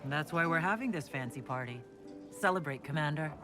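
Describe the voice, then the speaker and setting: Calm, gracious fantasy leader. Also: female voice, sits low, fairly quick. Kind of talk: dramatic game dialogue. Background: music.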